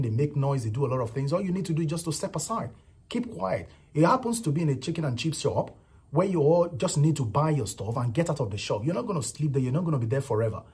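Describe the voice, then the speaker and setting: Man, Nigerian accent, very husky voice, casual emphatic commentary, mid pitch. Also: darkish tone. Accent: Nigerian English. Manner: repetitive, emphatic, frequent "you know" and "right". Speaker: vlogger commenting on a viral clip.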